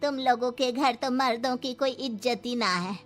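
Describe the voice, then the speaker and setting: A woman playing a sour, scheming old grandmother in a moral story, taunting and complaining in drawn-out phrases. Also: fairly high pitch, fairly bright tone, close to an everyday voice. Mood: sulky, goading. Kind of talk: Hindi moral story dramatization. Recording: music behind the voice.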